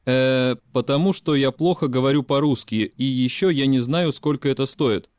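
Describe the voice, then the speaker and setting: Man reading polite learner role, plain mid voice, dry studio sound, measured delivery. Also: mid-range voice, darkish tone, no echo, close to an everyday voice. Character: male foreign learner Carl, adult. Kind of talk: scripted language-lesson dialogue.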